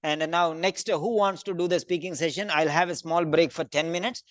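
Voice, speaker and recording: A man running a live online speaking class, his voice slightly raised and bouncing quickly from student to student by name. The sound is close and dry, with no room around it, heard over a video call. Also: fairly high pitch, dry recording. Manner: brisk, calls on students by name. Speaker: English speaking teacher. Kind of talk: online class feedback round.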